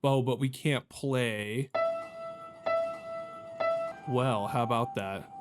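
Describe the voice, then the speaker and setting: A man's voice: mid-range voice, steady tone, very slow, loud music behind the voice. A man giving casual let's play commentary on a video game, thinking aloud in a hesitant, self-questioning, rambling way.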